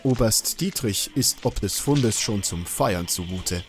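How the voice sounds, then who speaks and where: A man's voice: lowish voice, animated, a little slow. A man narrating a scripted adventure audio drama, dramatic with wry colloquial touches. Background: loud film music and effects.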